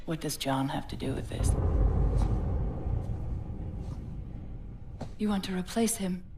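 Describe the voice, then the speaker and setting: An actress playing a proud, defiant older female military scientist with measured, clipped, imperious delivery in a game cinematic. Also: lowish voice, background noise, natural voice. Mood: suspicious. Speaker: military scientist being interrogated. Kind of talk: dramatic game cinematic acting.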